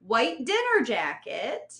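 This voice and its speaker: A woman's voice: on the high side, wildly swooping pitch, takes it slowly, pushed and bright. A middle-aged American woman chatting and telling stories casually as a podcast guest. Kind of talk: casual conversational storytelling.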